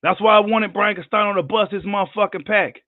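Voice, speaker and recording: A man with a worn, hoarse voice delivers a heated, profane rant on a live boxing video. His tone sits a touch high for a man. The sound is dry and thin, like a phone line. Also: steady tone, a little fast, no echo. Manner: heated, profane, combative ranting. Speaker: boxing commentator.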